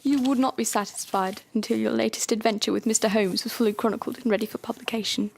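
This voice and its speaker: A woman plays a supportive Victorian wife in an audio drama. She speaks in a high, breathy voice, gentle, polite and composed. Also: steady tone, fast talker. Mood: gently teasing.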